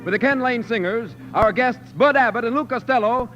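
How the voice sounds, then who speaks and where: A man's voice: very high voice, fairly quick, a bit bright. An American man reading a formal 1940s radio sponsor announcement. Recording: muffled 1940s radio broadcast over music.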